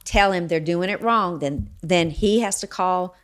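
An older woman co-hosting a podcast in a cozy living room. She recounts her experiences in a calm, matter-of-fact way. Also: lowish voice, a little slow. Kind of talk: casual chat. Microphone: boom-mounted studio mic.

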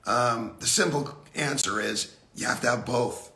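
A middle-aged man with a gravelly, raspy voice, a bit hoarse from a cold, giving a casual, blunt golf lesson on a livestream from an indoor simulator room. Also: mid-range voice, sharp tone, roomy sound. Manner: blunt, casual, drawn-out emphasis. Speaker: golf instructor.

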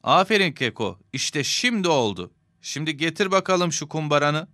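Bright-voiced devout cartoon father. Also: on the high side, sing-song, moderate pace, no echo, studio quality. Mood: pleased. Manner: instructive, fatherly, clearly enunciated. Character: kind, devout bearded middle-aged father. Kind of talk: children's cartoon dubbing.

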